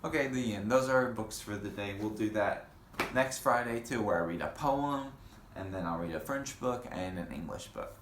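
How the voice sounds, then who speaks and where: A man reading a children's picture book aloud in an unhurried way, pausing for casual comments and questions. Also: fairly low pitch, fairly bright tone. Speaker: storybook reader. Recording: roomy echo with faint background noise.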